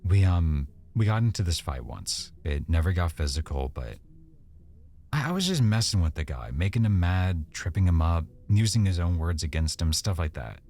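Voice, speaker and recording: A man playing an affectionate, teasing, easily embarrassed boyfriend in an intimate, conversational ASMR roleplay, speaking softly and a little hesitantly. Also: low-pitched, big pitch swings, normal speed, exaggerated voice. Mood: sheepish.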